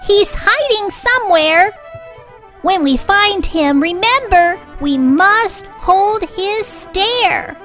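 An eager little girl from a storybook, played by a woman, urgent and bossy. Her voice sits very high and insists on every point, over background music. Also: expressive, takes it slowly, fairly bright tone, exaggerated voice. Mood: urgent, hushed.

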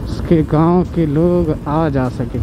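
A man from Bihar gives casual vlog commentary while riding, in unhurried short, broken phrases. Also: fairly high pitch, background noise. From Bihar, India. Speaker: motovlogger. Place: outdoors on a motorbike on a rural road. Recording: loud music over the voice. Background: music.

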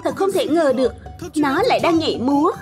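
A woman voicing an excitable, curious and playful young cartoon animal in a dub. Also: sits high, brisk, over music. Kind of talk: cartoon dubbing.

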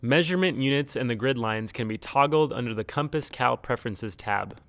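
Dry, measured male tutorial narrator. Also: mid-range voice, a bit bright, dry recording. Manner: measured, neutral step-by-step explaining. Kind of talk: scripted instructional narration. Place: close, dry studio-style voice-over recording.

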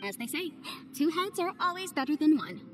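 A woman voicing a young, analytical adviser in a game, who explains things in a measured, methodical way. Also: high-pitched, big pitch swings, music in the background. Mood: thoughtful. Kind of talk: game cutscene voice acting.

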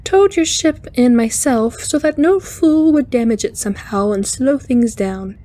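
A woman's voice: fairly high pitch, expressive, warm tone, pitched above the performer's own voice. A woman voicing a cheerful, intense female alien spider engineer in an audiobook, with a light chiming voice and courteous, precise speech. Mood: earnest, brisk. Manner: brisk, precise, courteous, slightly formal. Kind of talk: audiobook character voice.

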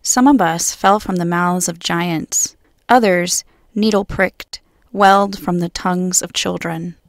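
Woman poet reading her poems, solemn and measured, lots of vocal fry, dry recording. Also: fairly low pitch, steady tone, dry recording. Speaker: guest poet. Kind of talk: poetry reading.

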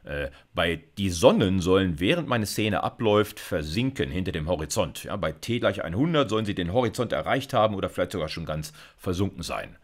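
A man's voice: low-pitched, sing-song, brisk, hardly any pauses. A man, explaining like a university lecturer in an informal, conversational way, often checking in with short tags. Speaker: university computer science lecturer.

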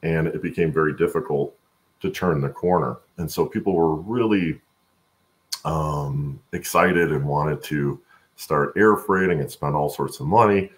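Very deep, gravelly middle-aged man. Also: unhurried. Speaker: guest, supply chain expert. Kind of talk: conversational interview answers. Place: home room, on a video call.

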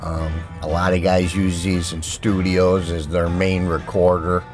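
Man, low voice, casual seller's demo, plainspoken and repetitive, handheld camera mic, clear music in background. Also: flat delivery, a little slow. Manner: plainspoken, repetitive sales patter. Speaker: seller demonstrating used audio gear. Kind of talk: casual product demo narration. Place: indoor room at home, music playing behind. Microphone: handheld camera mic, speaker behind camera.

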